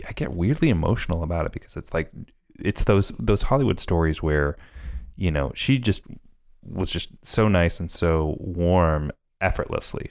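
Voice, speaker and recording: A man's voice: sits low, darkish tone. A man in his thirties co-hosting a filmmaking podcast, chatting casually and rambling with lots of fillers. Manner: rambling, many fillers and restarts. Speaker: podcast co-host. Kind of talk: casual chat.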